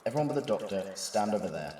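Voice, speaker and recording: A man's voice: lowish voice, sing-song, quick pace, warm tone, a little hiss or hum. A man playing an arrogant, greedy villain in an audio drama, sneering and boastful. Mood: smug, menacing. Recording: roomy, distant, with music behind.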